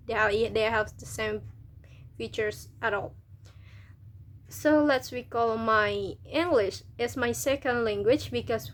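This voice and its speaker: A young woman from Indonesia with an Indonesian accent, a university student chatting to camera, hesitant and restarting as she searches for words. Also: on the high side. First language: local Indonesian language. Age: young adult. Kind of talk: casual video talk to camera.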